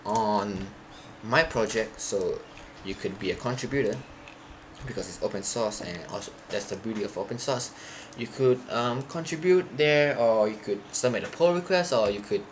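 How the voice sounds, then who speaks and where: A young man, a native Thai speaker, chatting loosely to his live stream viewers, often halting and restarting as he searches for words. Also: mid-range voice, a little hiss or hum. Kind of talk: casual stream chat to viewers.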